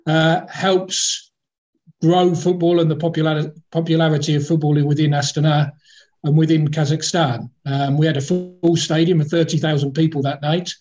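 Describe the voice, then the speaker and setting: A man's voice: a little high, level tone, moderate pace, a little mellow. A man with a slightly raised voice talks as a guest about football, rambling along with frequent ums and uhs and restarting his phrases. The sound is dull and lo-fi, like a remote call line. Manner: rambling, hesitant, many fillers and restarts. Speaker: former football club chief executive, guest. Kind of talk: casual podcast interview. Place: in the capital of Kazakhstan.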